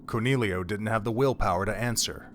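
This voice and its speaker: A man's voice: lowish voice, a little fast, fairly bright tone. A man narrating a dramatic story from a script, as the narrator of an audio drama.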